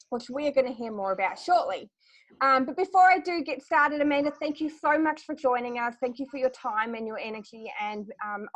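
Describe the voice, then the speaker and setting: Bright-voiced woman hosting an interview. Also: fairly high pitch, no room sound, rough recording. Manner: friendly, effusive with praise, many fillers. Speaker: host. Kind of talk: interview host.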